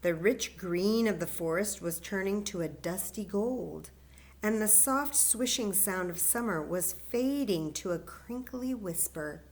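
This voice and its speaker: Woman doing kids story time, really slow careful reading, expressive, asks the little ones questions. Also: medium pitch. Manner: expressive, coaxing questions to children. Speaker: library story time reader. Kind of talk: children's read-aloud with asides to young listeners.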